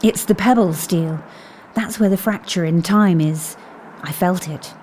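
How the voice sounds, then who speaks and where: A woman playing a calm, intuitive time agent in an audio drama, speaking with composure. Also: on the low side, a little slow, slight background noise, lightly acted. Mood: focused.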